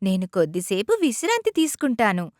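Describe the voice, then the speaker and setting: A woman's voice: medium pitch, huge pitch swings, a little fast, a little mellow, no room sound, clean studio sound. A woman narrating scripted moral stories for children as a storyteller.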